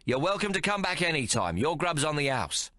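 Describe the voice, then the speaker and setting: A man voicing a generous, friendly chef and innkeeper who talks in rough colloquial slang. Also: on the high side, sing-song, fairly quick, pushed and bright, no room sound, studio quality, pitched above the performer's own voice. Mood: hearty. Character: hearty middle-aged male chef and innkeeper, generous.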